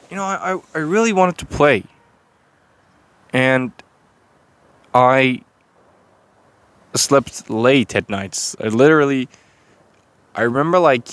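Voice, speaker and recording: A young man with a Persian accent, talking unscripted to a phone camera in a rambling, halting way full of fillers and restarts. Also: lowish voice. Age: young adult. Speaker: vlogger.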